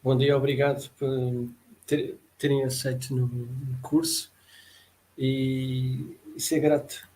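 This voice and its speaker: A man's voice: mid-range voice, level tone, slow, mellow, poor audio. A man from Lisbon with a Lisbon Portuguese accent, a workshop participant on a video call, hesitant and repeating words. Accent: European Portuguese, Lisbon.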